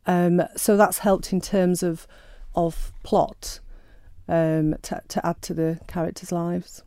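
Author guest, woman, mellow lowish voice, chatty and hesitant, dry close sound. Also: steady tone, slow pace, no room sound. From Sheffield, England. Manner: chatty, hesitant with many ums and restarts. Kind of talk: radio interview.

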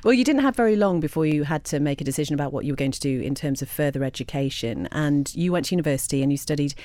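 Female radio presenter, quick-talking and mellow, smooth scripted intros. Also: sits low, lively intonation. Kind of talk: radio interview.

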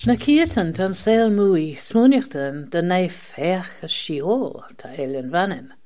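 A woman radio presenter reads scripted narration. Her voice sits a little low and has a soft, dark, relaxed tone. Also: a little slow, faint music behind.

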